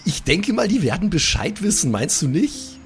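A man's voice: high voice, sing-song, moderate pace, soft background music. A man improvising as a player in a tabletop role-playing game, chatting casually in colloquial slang.

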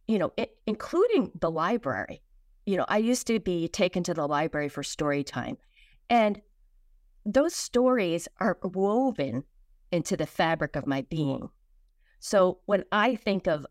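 Female author guest, lowish voice, close dry sound, earnest, reflective and expressive. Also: dry recording. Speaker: guest, children's book author. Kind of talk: reflective interview talk.

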